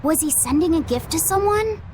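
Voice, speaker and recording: A woman voicing a kindly, hesitant, soft-hearted young boy in a video game. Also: extremely high pitch, expressive, normal speed, a little hiss or hum. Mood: earnest.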